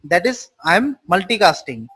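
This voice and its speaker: A man's voice: high-pitched, sing-song, normal speed, sharp tone, slightly rough. A man teaching a live online class, explaining terms with repeated simple definitions and quizzing his students. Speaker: networking instructor.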